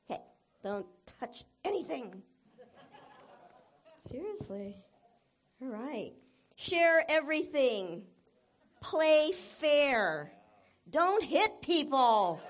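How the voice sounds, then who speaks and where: A woman giving a casual, enthusiastic talk to a church congregation, encouraging and emphatic, often laughing and sometimes getting loud. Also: high voice.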